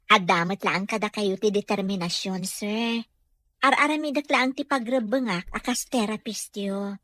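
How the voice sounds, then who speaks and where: A woman's voice: mid-range voice, normal speed, pushed and bright, close to an everyday voice. A woman playing a caring, respectful physical therapist in an Ilocano radio drama, with a Filipino accent and a polite, deferential manner. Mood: encouraging. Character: caring, respectful female physical therapist encouraging her patient.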